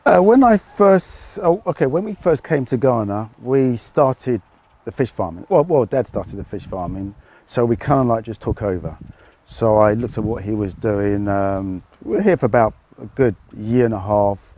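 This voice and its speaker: A middle-aged British man, a fish farmer, explaining his work outdoors in a relaxed, hesitant way. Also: fairly low pitch, moderate pace. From United Kingdom. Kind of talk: casual interview answers.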